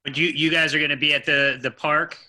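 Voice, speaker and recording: Bright-voiced man asking questions. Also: on the high side, little pitch movement, fairly quick, a little hiss or hum. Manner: asking brief checking questions.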